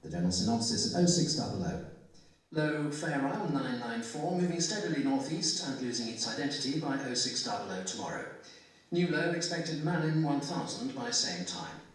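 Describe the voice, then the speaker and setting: A man's voice: lively intonation, moderate pace. A man formally reading a scripted radio shipping forecast, played over a PA in a reverberant hall. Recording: boomy reverberant hall, distant loudspeaker playback.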